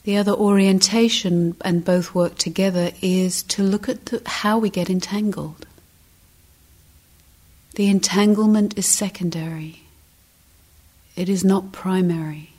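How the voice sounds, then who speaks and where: A woman meditation teacher giving guided instruction, speaking gently and deliberately in short phrases with long pauses. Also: mid-range voice, fairly even intonation, husky.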